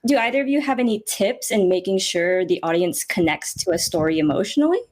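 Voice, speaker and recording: A woman's voice: medium pitch, lively intonation, a little fast, darkish tone. A woman moderating a casual panel, relaying audience questions in an informal, encouraging way.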